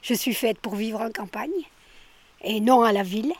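A woman's voice: on the high side, fairly even intonation, moderate pace, no echo. An older woman from France chatting outdoors by her garden during a street interview, talkative and emphatic, often repeating her words. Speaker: villager stopped for a street interview. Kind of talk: casual roadside chat.

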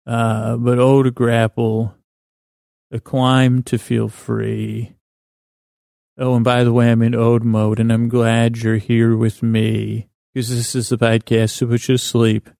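A man with a creaky voice hosting a sleep podcast, delivering a meandering, halting bedtime monologue with whimsical, self-deprecating digressions. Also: mid-range voice, monotone, darkish tone, dry recording, clean studio sound. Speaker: podcast host. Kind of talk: rambling bedtime monologue to help listeners sleep.

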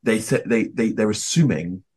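A young man from Scotland co-hosting a podcast in casual, rambling chat that often trails off quietly. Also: on the low side, normal speed, breathy edge. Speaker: podcast co-host.

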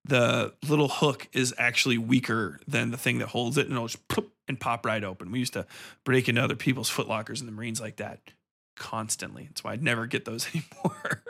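A man's voice: mid-range voice, brisk, creaky, sharp tone, breathy edge. A man hosting a review podcast, talking casually and off the cuff in a blunt, colloquial way with plenty of swearing.

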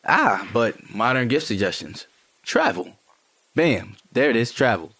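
A man playing an easygoing, gently teasing partner in a naturalistic audio drama, mild and coaxing. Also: medium pitch, very animated, measured pace, breathy edge, no room sound, exaggerated voice. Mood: upbeat, coaxing.

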